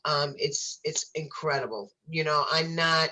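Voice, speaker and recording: A woman's voice: low-pitched, unhurried, pushed and bright, rough recording. A woman, a recovery organization guest speaking with passion in a casual live-stream chat, repeating herself for emphasis.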